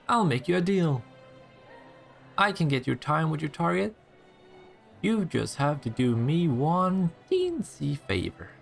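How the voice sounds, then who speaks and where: Man acting a sly, creaky-voiced teasing vampire. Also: mid-range voice, a bit bright, full character voice. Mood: coaxing. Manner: sardonic, taunting, playful. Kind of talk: roleplay audio drama, intimate one-sided dialogue.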